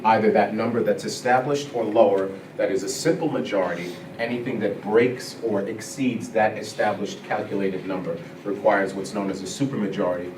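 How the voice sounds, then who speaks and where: A man, a school administrator, giving a measured, explanatory budget presentation at a board meeting, with frequent uh and um. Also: on the low side, distant mic. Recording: echoey room picked up from afar, noisy.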